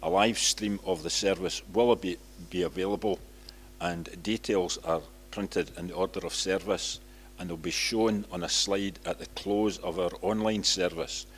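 Deep-voiced older Scottish man reading notices. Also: fairly even intonation, normal speed. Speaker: church announcer. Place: large church, at a lectern. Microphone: lectern microphone. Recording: low hum and background noise.

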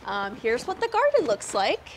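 A woman's voice: on the high side, wildly swooping pitch, normal speed, noisy background. A young woman from Canada giving a chatty, upbeat walking tour of her snowy backyard garden, talking into a lapel mic outdoors and laughing often. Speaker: garden vlogger.

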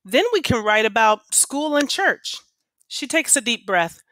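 Bright-voiced woman reading aloud like a teacher. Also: on the high side, big pitch swings, unhurried, slight background noise. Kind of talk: expressive read-aloud of children's poems and class instructions.

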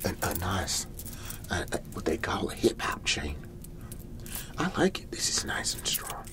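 A man's voice: deep voice, big pitch swings, measured pace. Man putting on a whispering, folksy garage salesman. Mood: pleased, insistent. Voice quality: whispery, breathy. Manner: folksy, rambling, repetitive, hard-selling. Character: folksy, excitable male garage-sale salesman. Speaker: host playing a character. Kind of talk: ASMR sales pitch roleplay.

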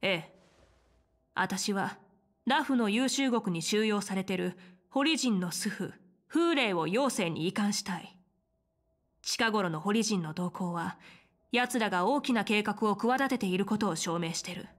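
A woman's voice: mid-range voice, animated. Composed, commanding woman general. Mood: businesslike. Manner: assertive, measured, frank. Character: confident, composed female military general in fantasy game. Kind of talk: dramatic Japanese game voice acting.

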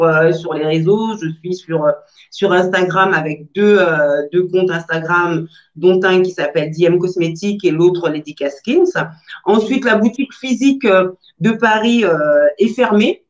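A woman in her thirties answering interview questions from home over a video call, speaking in a measured way with frequent fillers and restarts. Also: lowish voice. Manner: measured, hesitant with many fillers. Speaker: guest entrepreneur. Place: room at home, indoors. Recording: dull lo-fi video-call audio.

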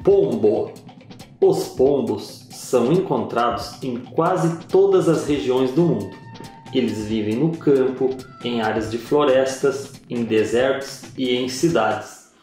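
Slow echoey male narrator. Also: medium pitch, darkish tone. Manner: slow, measured reading with pauses. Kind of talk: scripted educational narration. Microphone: voice-over. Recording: very echoey voice-over with music.

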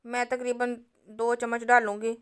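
Calm woman explaining a recipe. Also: fairly high pitch, normal speed, clean tone. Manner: calm, instructive, addresses viewers directly. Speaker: cooking channel host. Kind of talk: step-by-step recipe narration.

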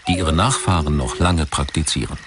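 A man narrating a scripted documentary in a measured, articulate storytelling manner. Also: very deep voice. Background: background music.

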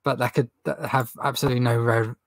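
A man's voice: mid-range voice, level tone, fast talker, no echo. A young man with a runny nose hosting a casual livestream Q&A from a stock room, rambling chattily and laughing at his own jokes. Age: young adult. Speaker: reseller livestream host. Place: indoor stock room with shelves.

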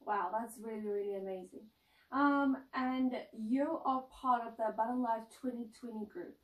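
A woman's voice: on the high side, fairly even intonation, normal speed. Young woman, 20s, upbeat interviewer; echoey room, mic set back. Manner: bubbly, frequent ums and restarts. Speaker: announcer and interviewer. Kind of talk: casual interview chat. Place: indoor lounge set, seated on couch. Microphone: far camera mic. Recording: roomy echo, distant mic.

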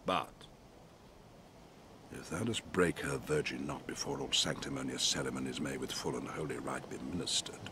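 A man's voice: a bass voice, fairly even intonation, normal speed, bright, forceful tone, a little hiss or hum. An actor playing a commanding older sorcerer and stern father in a Shakespearean audio play, declamatory and solemn. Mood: solemn, warning.

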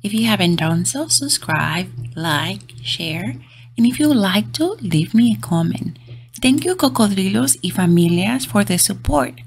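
Slow, sing-song woman reading to children. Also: mid-range voice, expressive. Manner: gentle, sing-song, encouraging. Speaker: children's book read-aloud host. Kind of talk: expressive storybook read-aloud for young children.

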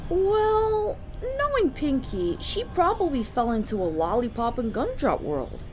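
Woman playing small boy dragon, cartoon, childlike high voice, hesitant, wary, lively intonation. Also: a little slow, a little hiss or hum. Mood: dry. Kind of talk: cartoon comic dub.

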